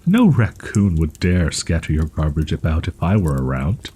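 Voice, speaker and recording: A man playing a proud, wounded young wolf-boy in an audio roleplay, stammering in archaic, formal phrasing with a husky, breathy voice. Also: a bass voice, sing-song, normal speed, dark tone, exaggerated voice. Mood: eager, flustered. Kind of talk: roleplay audio drama, ASMR.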